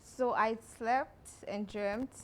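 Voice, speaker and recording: This young woman speaks with a Ghanaian accent and a voice pitched a little high. She tells her stories in a lively, laughing way, as a guest on an interview show. Faint background noise sits under her voice. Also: takes it slowly. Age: young adult. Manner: laughing, animated storyteller. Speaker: actress guest. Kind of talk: casual interview chat.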